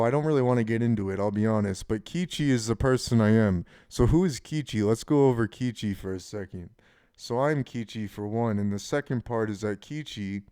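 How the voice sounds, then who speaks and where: Deep, smooth-voiced young man rambling casually. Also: warm tone, no echo, studio quality. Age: 20s. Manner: casual, frank, swearing. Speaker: channel host. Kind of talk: rambling personal monologue.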